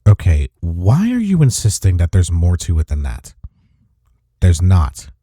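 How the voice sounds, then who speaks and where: A man playing a smitten office rival in an intimate romantic audio roleplay, with a husky, mellow voice and a halting, teasing manner. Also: low-pitched, wildly swooping pitch, dry recording, studio quality, exaggerated voice. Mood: earnest, defensive. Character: flustered, smitten male office rival confessing attraction.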